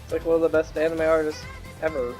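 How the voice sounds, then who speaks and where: Joking young man, fairly high voice. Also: little pitch movement, fast, a little mellow. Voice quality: reasonably high-pitched voice. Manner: joking, self-mocking, quotes people in put-on voices. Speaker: stream host. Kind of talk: casual gaming stream chat. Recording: background music.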